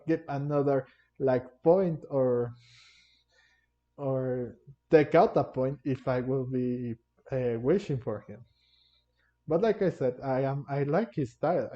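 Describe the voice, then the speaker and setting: A man giving casual gameplay commentary, rambling and halting, often repeating his words. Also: medium pitch. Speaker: gaming video creator. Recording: dull, lo-fi home microphone. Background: faint game music.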